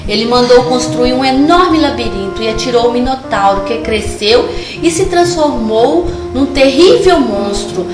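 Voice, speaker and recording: A woman's voice: fairly high pitch, animated, unhurried, distant mic. A woman voicing a kindly, learned old grandmother who patiently tells stories in a cartoon. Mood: storytelling, grave. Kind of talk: storytelling in an amateur cartoon. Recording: roomy echo, music behind.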